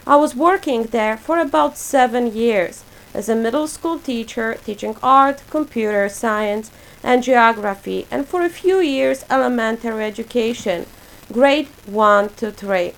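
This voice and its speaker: A woman in her forties from Poland, a native Polish speaker, reading a prepared self-introduction for her portfolio in a measured, list-like way. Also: a little high, low-quality recording. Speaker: graduate student presenting a portfolio.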